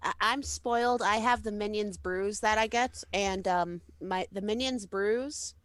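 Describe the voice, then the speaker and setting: A woman's voice: medium pitch, measured pace, no echo. A woman bantering in a casual book club chat, blunt and teasing, swearing offhandedly.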